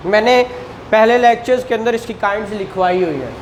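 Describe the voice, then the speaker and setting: A man's voice: high-pitched, fairly quick. A man with a Pakistani accent lecturing on law to a class in a didactic way, putting questions to students and repeating key phrases. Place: indoor classroom. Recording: echoey room with clear background noise.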